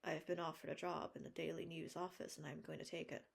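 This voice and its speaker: A woman reading an audiobook voices an earnest young man, speaking quietly and low. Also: little pitch movement, quick pace, gravelly, breathy edge, exaggerated voice. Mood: calm. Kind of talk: audiobook character voice.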